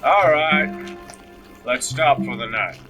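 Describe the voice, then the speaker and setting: A man playing a practical father who gives brisk instructions in an audio drama. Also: mid-range voice, over music, natural voice. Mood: steady, directing. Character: practical father directing a family house move.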